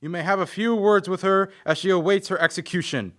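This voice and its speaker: Man playing a high-voiced, stern judge. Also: a little fast, a bit bright. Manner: formal, declamatory. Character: stern, unbending male judge pronouncing sentence. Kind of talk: radio play acting.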